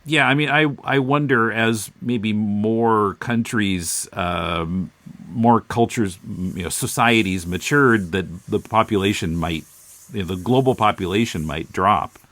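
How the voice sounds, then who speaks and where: Male podcast host, lowish voice, dry close recording, casual and reflective, feeling his way through thoughts. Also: unhurried, a bit bright, no echo. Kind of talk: casual comic book discussion.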